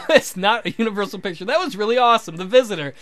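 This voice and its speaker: Guy doing casual lets play commentary, highish bright voice, sweary n jokey. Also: expressive, fast talker, faint music behind. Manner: sweary, joking. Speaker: let's play host. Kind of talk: casual game playthrough commentary and narration.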